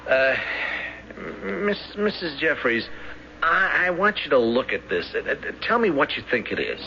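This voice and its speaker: A kindly banker who can't say no, in an old radio play. His bright voice rises and dips freely as he stammers apologetically. The sound is slightly dull with faint noise. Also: a little high, sing-song, normal speed, gravelly, heavily acted. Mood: curious. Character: soft-hearted, hesitant, easygoing banker who cannot say no. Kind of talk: radio drama acting.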